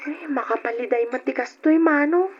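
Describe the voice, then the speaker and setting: A woman's voice: sits high, moderate pace, noisy background, lightly acted. A woman playing an earnest young daughter in a radio drama, with a plaintive, childlike manner. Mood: wistful. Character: young daughter, earnest little sister missing her mother. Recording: dull lo-fi radio drama recording.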